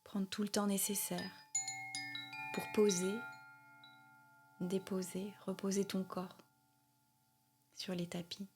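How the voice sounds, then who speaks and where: A woman leading a guided meditation as a yoga nidra guide, gentle and soothing, speaking in short phrases with long pauses. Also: mid-range voice, level tone, faint music behind.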